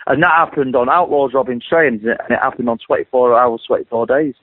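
A middle-aged man with a deep voice, a singer-songwriter rambling through an interview with many restarts and repeated words. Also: fairly quick, no room sound. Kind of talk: casual interview talk. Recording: dry tinny phone line.

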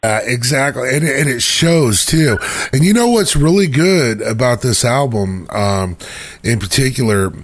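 A man's voice: medium pitch, animated, measured pace. A man interviewing a musician in a casual, chatty way, with frequent fillers. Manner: chatty, many fillers like "you know" and "like".

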